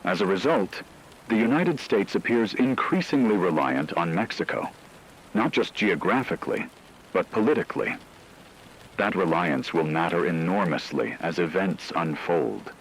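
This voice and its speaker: A man playing a grave radio anchor, delivering a scripted emergency broadcast in a measured, formal manner. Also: low-pitched, expressive, a little hiss or hum, poor audio.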